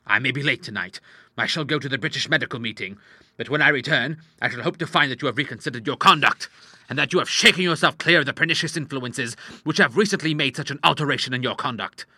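A man voicing a middle-aged gentleman doctor in an audiobook, an indignant father who speaks in a pompous, formal, emphatic way. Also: medium pitch, quick pace, no room sound, voice pushed up. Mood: angry, outraged. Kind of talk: audiobook character acting.

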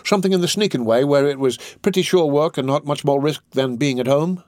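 A man's voice: on the high side, brisk, darkish tone, no echo, clean studio sound, pitched above the performer's own voice, lightly acted. A man voicing a greedy, cowardly young lout in a storybook reading, self-important and full of questions. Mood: wary, angling.

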